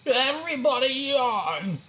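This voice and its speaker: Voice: high voice, sing-song, muffled recording, voice pushed up, exaggerated voice. A storyteller voicing a sleepy, lazy sloth teacher who drawls out lessons in a children's book. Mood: drowsy. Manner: slow loud drawl.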